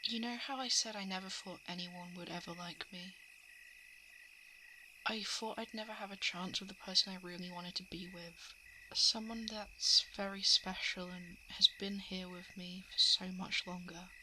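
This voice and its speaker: A woman playing a shapeshifting monster who poses as a lovelorn crush in an ASMR roleplay, speaking softly and hesitantly, often slipping into whispers. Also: lowish voice, steady tone, moderate pace, background noise, lightly acted. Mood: tender coaxing. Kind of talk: ASMR roleplay, intimate confession. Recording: night insect and frog ambience. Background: crickets, frogs and insects.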